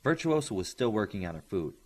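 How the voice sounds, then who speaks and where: A man reading a story aloud as scripted, expressive narration. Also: fairly low pitch, brisk. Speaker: audiobook reader.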